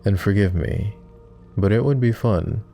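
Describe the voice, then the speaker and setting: A man playing a flirty, courtly vampire aristocrat in an intimate roleplay ASMR, with refined, formal, teasing speech in a low, gravelly murmur. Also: measured pace, dark tone, faint music behind. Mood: playful, teasing. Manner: refined, formal diction with playful teasing and sighs.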